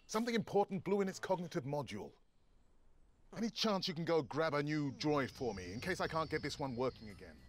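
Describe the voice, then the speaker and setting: Practical pilot mechanic, matter-of-fact, fairly high voice, game audio. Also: male voice, big pitch swings, fairly quick, slight background noise.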